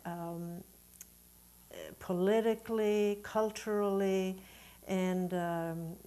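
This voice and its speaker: A middle-aged or older woman from Sweden, a native Swedish speaker, telling her story as an interview guest in a measured, deliberate, reflective way. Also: medium pitch, steady tone, mellow. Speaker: guest, Unitarian Universalist minister.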